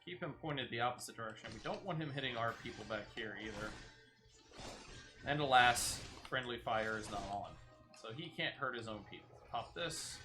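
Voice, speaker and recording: A man's voice: mid-range voice, expressive, moderate pace, music in the background, rough recording. A man giving casual gameplay commentary as a streamer, muttering his play-by-play as if talking to himself. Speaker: gaming streamer.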